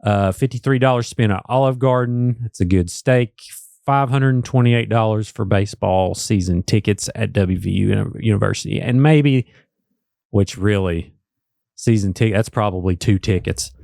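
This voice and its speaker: A man's voice: on the low side, moderate pace, vocal fry, darkish tone, no echo, clean studio sound. A man with an Appalachian accent, co-hosting a true-crime podcast, with wry, joking asides. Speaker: podcast co-host. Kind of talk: casual true-crime discussion.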